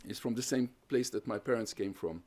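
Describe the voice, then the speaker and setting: Man giving a measured lecture. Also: lowish voice, darkish tone, breathy edge. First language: Hungarian. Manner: measured, explanatory, builds lists of points. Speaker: guest lecturer on terrorism research. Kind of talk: academic lecture with audience questions.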